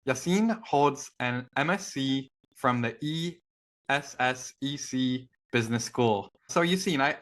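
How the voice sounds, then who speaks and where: Bright-voiced podcast host man. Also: mid-range voice. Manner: measured, occasional stammered restarts. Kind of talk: interview questions.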